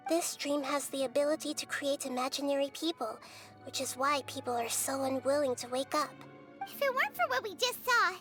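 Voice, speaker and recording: A woman voicing a tiny, excitable fairy companion girl who talks about herself by name. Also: very high voice, heavily acted. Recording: game audio with music bed.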